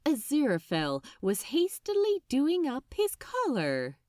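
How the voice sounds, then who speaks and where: A woman narrates a story in an expressive, dramatic style. Her fairly high voice moves through very wide pitch swings at a slow, deliberate pace. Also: no room sound, studio quality. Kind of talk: expressive scripted fiction narration.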